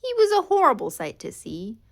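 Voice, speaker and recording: A woman reading a children's story aloud in a dramatic, expressive way, drawing out key words. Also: on the high side, brisk, gravelly. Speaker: storybook reader. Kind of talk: scripted children's storytime narration.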